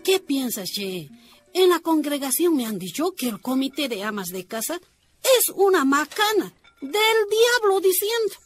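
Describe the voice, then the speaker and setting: A spirited Bolivian miner's wife. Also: female voice, sits high, a little slow. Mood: chatty. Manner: lively, plain-spoken. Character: outspoken female miner's wife and activist. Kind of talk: radio drama acting. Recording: music playing underneath.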